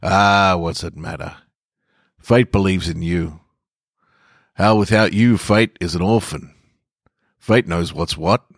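A man's voice: low voice, slow pace, vocal fry, pauses often, no echo, clean studio sound, heavily acted. A man voicing a blunt, folksy, philosophizing bar regular in a short story reading. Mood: emphatic, fatalistic. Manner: folksy, emphatic, swearing barfly monologue.

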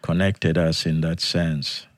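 Soft-spoken low-voiced man. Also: little pitch movement, no echo, studio quality. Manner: halting, soft-spoken, frequent restarts and light laughs. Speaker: interview guest. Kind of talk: casual interview, life story. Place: podcast studio.

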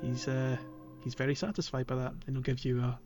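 A man's voice: mid-range voice, level tone, brisk, over music. A man co-hosting a casual gaming podcast, talking in a loose, rambling way with lots of fillers and restarts. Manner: rambling, hesitant with many fillers. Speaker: podcast co-host.

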